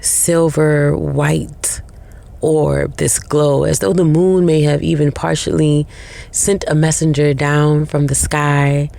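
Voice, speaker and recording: A woman guides a meditation in short, unhurried phrases with long pauses. Her voice is dark and relaxed, and it crackles with heavy vocal fry. The delivery is soothing throughout. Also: low-pitched.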